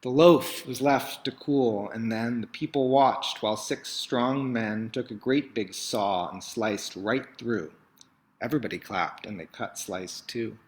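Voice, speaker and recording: A man reading a children's picture book aloud in an expressive, theatrical way, with emphatic swells and dramatic hushes. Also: medium pitch, unhurried.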